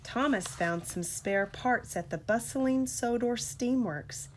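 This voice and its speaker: A woman reading a storybook aloud to a child as a parent, in an expressive, deliberate storytelling manner. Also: a little high, noisy background.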